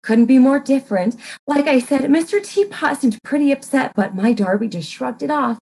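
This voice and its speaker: A woman's voice: high voice, animated, moderate pace, gravelly, a little mellow, slight background noise, pitched above the performer's own voice. A woman playing a flustered, scatterbrained society wife who gossips coyly and hesitantly in a comic murder mystery reading. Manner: fluttery, hesitant, coyly confiding.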